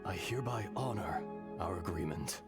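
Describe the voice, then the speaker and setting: A man's voice: deep voice, expressive, moderate pace. A man playing an ancient, solemn earth dragon in a video game, resigned and dignified, with grave, formal phrasing. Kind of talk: scripted video game acting. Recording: loud music under the voice.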